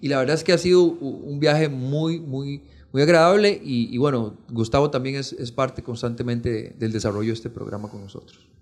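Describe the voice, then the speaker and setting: A man's voice: mid-range voice, moderate pace, darkish tone. A man with a Costa Rican accent speaking as a podcast guest, explaining conversationally and earnestly, often adding a checking tag question. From Costa Rica. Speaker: podcast guest, foundation programme representative.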